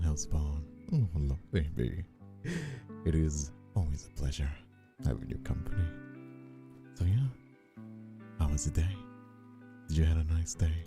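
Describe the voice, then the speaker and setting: A man chatting casually on a live stream as its host, affectionate with his viewers, with a flirty touch. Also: rumbling low. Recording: faint music behind the voice.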